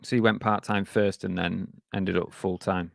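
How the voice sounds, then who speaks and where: A man hosting a podcast, chatting in a relaxed way with plenty of fillers. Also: deep voice, normal speed, gravelly, no echo, clean studio sound. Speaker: podcast host. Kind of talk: casual podcast chat with sponsor read.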